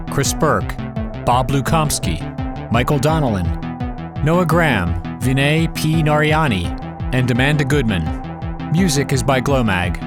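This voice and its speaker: Podcast announcer, man, scripted outro and credits read over prominent music. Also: mid-range voice. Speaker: podcast announcer and crew member.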